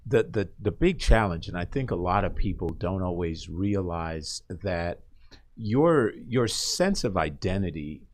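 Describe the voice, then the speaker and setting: A man from Costa Rica with a low-pitched voice, hosting a radio interview. He talks in a reflective, conversational way and often encourages his guest with short affirmations. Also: animated, unhurried. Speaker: radio host.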